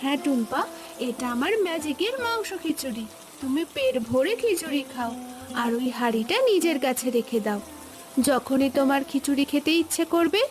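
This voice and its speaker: A woman voicing a kindly, gentle magic fairy in a cartoon. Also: high-pitched. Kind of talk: cartoon voice acting.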